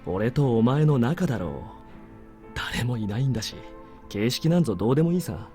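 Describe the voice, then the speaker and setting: Male actor as lively, quick-talking joking officer. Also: mid-range voice, close to an everyday voice. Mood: casual command. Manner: casual, teasing. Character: easygoing, joking male military superior officer. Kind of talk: Japanese visual novel voice acting. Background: game music.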